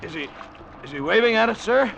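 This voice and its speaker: A creaky, high-voiced crewman in an old radio drama. He sounds anxious and deferential, with hesitant phrasing. Faint noise runs behind him. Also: lively intonation, a little fast, a bit bright, muffled recording, exaggerated voice. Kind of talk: 1940s-50s radio acting.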